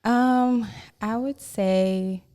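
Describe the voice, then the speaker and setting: A woman's voice: on the high side, steady tone, drawn-out words, warm tone. A woman in her thirties from El Salvador with a Salvadoran accent, a podcast guest telling her own story openly, with plenty of "um" and "you know". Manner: open, frank storytelling with frequent "um" and "you know". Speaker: guest, loan officer. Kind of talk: candid podcast conversation.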